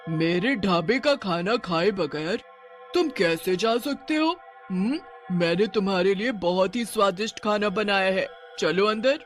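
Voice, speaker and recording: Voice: mid-range voice, expressive, measured pace, faint music behind, natural voice. A man voicing a vengeful witch mother who runs a food stall, in a theatrical, commanding cartoon horror style. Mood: menacing coaxing. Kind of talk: Hindi animated horror story dialogue.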